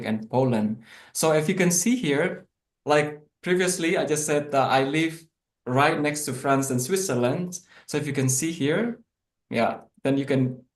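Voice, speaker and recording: A man from Indonesia, a native Indonesian speaker, talks an online class through slides in a medium-pitched voice. He hesitates often, filling gaps with fillers and restarting his phrases. The sound bounces around an echoey room. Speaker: guest presenter in an online class.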